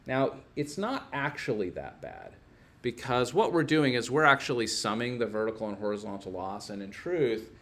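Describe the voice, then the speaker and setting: A man's voice: mid-range voice, big pitch swings, moderate pace, fairly bright tone. A man teaching a casual hands-on technical lesson, informally thinking aloud as he works through each step.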